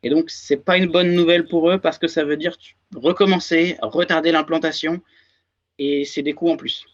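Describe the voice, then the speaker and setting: French-accented man, halting and dull-sounding. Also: on the high side, steady tone. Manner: hesitant, many fillers and restarts. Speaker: guest, research and development engineer. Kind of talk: casual interview talk.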